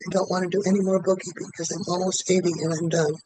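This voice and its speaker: A man in his late seventies, a client in a tapping session, who repeats one statement over and over in an even rhythm. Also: sits high, fast talker, slightly rough, no room sound. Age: 70s.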